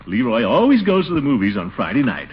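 An actor playing a flustered, pompous middle-aged uncle who blusters fussily, in the manner of 1940s radio comedy. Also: male voice, medium pitch, huge pitch swings, fast. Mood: exasperated. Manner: blustering, fussy chuckling. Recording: noisy muffled old radio recording.

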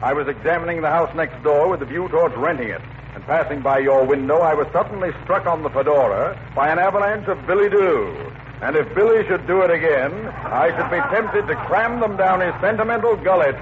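A man acting a florid, mocking gentleman with grandiloquent diction in a 1940s radio comedy. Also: a little high, brisk, lightly acted. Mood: pompous indignation. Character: pompous, florid, mocking gentleman stranger, mildly affronted. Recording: tinny, noisy old radio recording.

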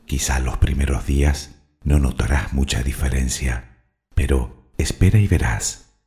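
A man leading a guided meditation, speaking soothingly and deliberately in short phrases of instruction and affirmation. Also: rumbling low, fairly even intonation, a little mellow.

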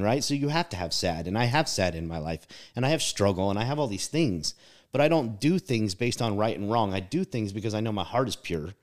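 A man co-hosting a casual podcast conversation, talking in a reflective, emphatic way and leaning on "like" and "right?" to drive his points home. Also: on the low side.

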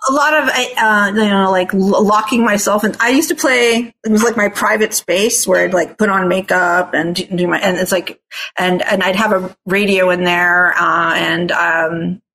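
A middle-aged woman musician who grew up in Toronto with Italian as her first language, answering interview questions in a halting, searching way with many restarts. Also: mid-range voice, fairly even intonation, bright, forceful tone, rough recording. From Canada, raised in Toronto. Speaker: singer and bassist, interview guest. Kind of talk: casual interview talk.

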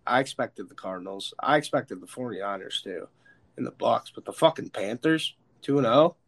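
An American man hosting a casual, sweary sports chat, opinionated and emphatic, with a stuffed-up, slightly hoarse voice. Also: mid-range voice, animated, normal speed. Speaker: sports podcast host. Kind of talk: casual, profane football chat.

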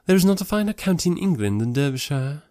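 A man's voice: on the high side, big pitch swings, fast, dark tone, no room sound, studio quality, pitched above the performer's own voice. A man voicing a haughty, catty young society lady in an audiobook, with an affected, snobbish and emphatic delivery. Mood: arch, sneering. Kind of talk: classic novel audiobook character voice.

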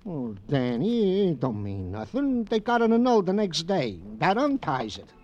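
An actor playing a fussing, loyal American police sergeant sidekick, folksy and stammering, as comic relief in an old radio drama. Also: male voice, sits high, big pitch swings, measured pace, lo-fi sound, background noise. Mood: exasperated. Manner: stammering, folksy, mangles phrases.

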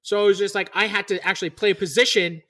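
A man with a scratchy, boyish voice, chatting excitedly as a chef with lots of fillers. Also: high voice, fast. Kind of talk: casual chat.

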